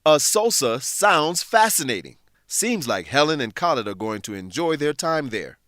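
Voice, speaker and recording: A man's voice: a little high, big pitch swings, moderate pace, a bit bright, no room sound, studio quality. A man reading scripted educational narration as a narrator and English teacher, explaining in a structured way.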